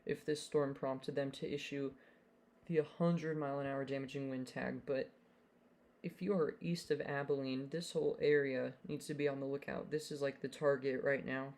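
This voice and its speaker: A man's voice: medium pitch, little pitch movement, normal speed, darkish tone. A young man hosting a live weather stream, talking casually with fillers and giving urgent storm warnings from his home setup. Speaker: livestream weather host. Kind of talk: live storm-coverage commentary.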